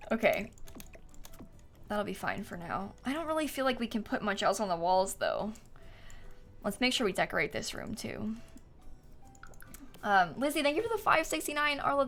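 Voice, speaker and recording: A woman's voice: a little high, fairly bright tone. A young woman live game streamer chatting casually and informally with her viewers, with a giggly manner. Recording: music playing behind. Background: music, typing.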